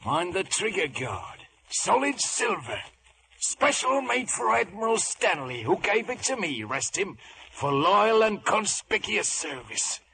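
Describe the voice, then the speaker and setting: An actor playing a wily, roguish one-legged pirate sea cook who talks in rustic seafaring slang, in an old radio drama. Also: male voice, high-pitched, big pitch swings, unhurried, pushed and bright, a little hiss or hum, exaggerated voice, low-quality recording. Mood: gruff, sly. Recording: old radio broadcast, faint music.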